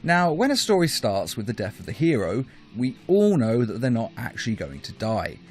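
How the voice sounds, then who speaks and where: A man narrates a scripted top-five video as its host. His pitch is middling and swings widely as he explains and stresses his points. Soft plucked guitar music plays behind him. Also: huge pitch swings, normal speed.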